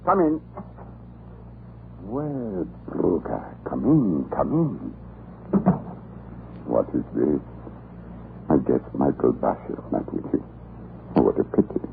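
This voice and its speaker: An actor playing a cruel, scheming Russian prison island commandant in a radio drama, pompous and insinuating in his deliberate delivery. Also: a bass voice, huge pitch swings, vocal fry, exaggerated voice. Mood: feigned pity, impatience. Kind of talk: 1940s radio drama acting. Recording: muffled, noisy old radio recording.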